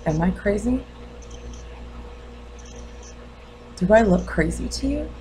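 A woman playing a grieving, defensive mother in a dramatic monologue, halting and intense. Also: medium pitch, lively intonation, mellow, a little hiss or hum, voice pushed up, natural voice, poor audio. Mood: guarded, challenging. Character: grieving, defensive mother confronting her doctor.

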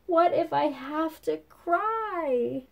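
A woman's voice: high-pitched, animated, drawn-out words, gravelly, voice pushed up, full character voice. Woman as an anxious, fretful little girl mouse. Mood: worried. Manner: fretful, questioning.

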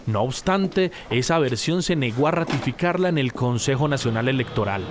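A man's voice: medium pitch, animated, normal speed, background noise. A man with a Colombian accent voicing a news report in an emphatic narrating style. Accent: Colombian Spanish.

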